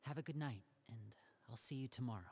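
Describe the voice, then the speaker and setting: Male actor: composed young game lead, thoughtful, measured. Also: mid-range voice, background noise, close to an everyday voice. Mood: serious. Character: clever young man, a magician and an older brother. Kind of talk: video game dialogue.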